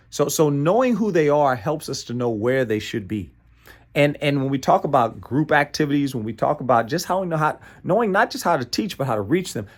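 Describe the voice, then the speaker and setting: An American man presenting a motivational training talk in an emphatic, playful way, repeating phrases and often checking in with "right?". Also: mid-range voice. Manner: playful asides and chuckles.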